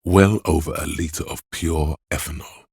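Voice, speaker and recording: A man voicing a video game's inner voice of addiction, a sleazy, hedonistic tempter who coaxes and indulges in a gravelly, husky, theatrical voice. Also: rumbling low, steady tone, normal speed, darkish tone, no room sound, studio quality, exaggerated voice. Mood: wry, knowing. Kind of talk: video game character voice lines.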